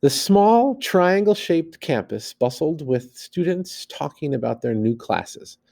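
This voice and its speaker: A man's voice: on the high side, takes it slowly, vocal fry. A man reading a story aloud like a teacher, expressively, acting out the dialogue and adding plain asides. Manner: animated, acts out the dialogue.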